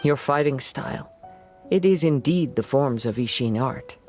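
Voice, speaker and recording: A man playing a calm, perceptive young wandering swordsman in a video game, speaking in a measured, composed and formal way. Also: mid-range voice, close to an everyday voice. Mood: calm, analytical. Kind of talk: video game character acting. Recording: loud background music.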